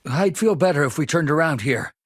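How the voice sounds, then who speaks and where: An actor playing an earnest, anxious spaceship engineer in a video game, with a husky voice and a hesitant manner. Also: male voice, a little high, expressive, fairly quick, no room sound. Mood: wary. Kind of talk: video game character dialogue.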